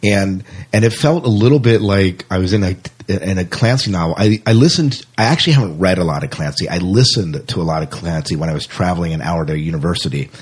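Male podcast host, deep husky voice, casual and rambling, restarts often. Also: expressive, fast talker, hardly any pauses. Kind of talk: casual interview chat.

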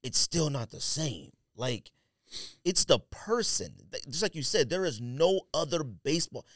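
Bright-voiced man in animated sports chat. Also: a little high, measured pace, no room sound. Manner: emphatic, slangy, repeats himself for emphasis. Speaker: podcast co-host. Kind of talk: casual sports talk.

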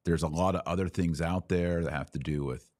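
An American man with a very low voice hosts a live commentary show. He is blunt and opinionated, often repeating a phrase to drive it home. The sound is dry, with hardly any room on it. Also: a little fast, darkish tone, no room sound. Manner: emphatic, repeats phrases for stress. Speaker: podcast host answering live audience comments.

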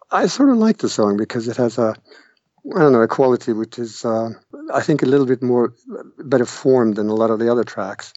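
A man's voice: mid-range voice, darkish tone, no room sound, muffled recording. An older man from Norway, a guest musician, telling stories casually with a hesitant, wry manner and many fillers. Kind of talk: casual interview storytelling.

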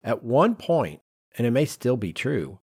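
A man's voice: medium pitch, very animated, a little slow, darkish tone, slightly rough. A man co-hosting a podcast in casual conversation, reacting and raising questions. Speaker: podcast co-host.

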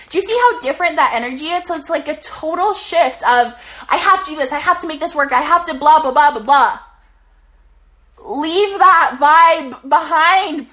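A young woman sits on her bed and talks straight to her live-stream viewers, coaching them on business with an upbeat, energetic drive and a casual curse or two. Her voice sits high and bright. It is a little strained from days of talking too much. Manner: energetic, swearing, motivational. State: slightly losing her voice. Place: bedroom, sitting on her bed.

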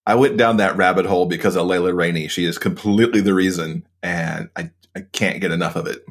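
A man's voice: low voice, fairly even intonation, fairly quick. A man, a podcast co-host, talking casually and keenly as an interview guest. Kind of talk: casual conversation.

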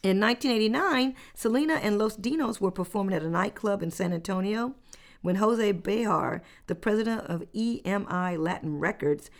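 A woman's voice: medium pitch, normal speed. A woman hosting a casual podcast, reading out facts in a chatty, conversational way. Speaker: podcast host. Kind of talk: casual chat.

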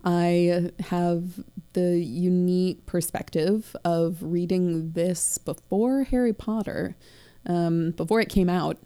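A woman in her late twenties chats about books in a casual, digressive way. Her voice sits a bit low and sounds dark and mellow, with a slight crackle. She drops in dry, self-mocking asides as she goes. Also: fairly even intonation, slow, smooth. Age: late 20s. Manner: chatty, digressive, wry. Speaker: podcast co-host. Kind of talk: casual book discussion.

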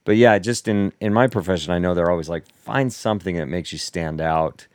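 A man's voice: low-pitched, fairly quick, a little mellow, no room sound, clean studio sound. A man from the Seattle area, co-hosting a casual podcast chat, rambling and restarting his sentences and laughing often. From Seattle area, United States. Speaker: podcast co-host.

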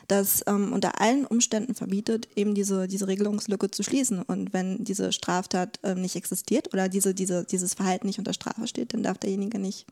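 Mellow-voiced woman explaining hesitantly. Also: medium pitch, little pitch movement, a little fast, gravelly, few pauses. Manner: hesitant, searching for words, explanatory. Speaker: interview guest, legal expert. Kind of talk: conversational explanation in a podcast interview.

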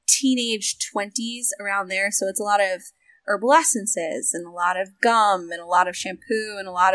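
A young woman from the United States chats animatedly and laughs often. She speaks with quick asides. The recording is dry and close, with no room sound. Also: medium pitch, fairly bright tone, dry recording. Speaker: voice actor and game developer. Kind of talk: casual interview chat.

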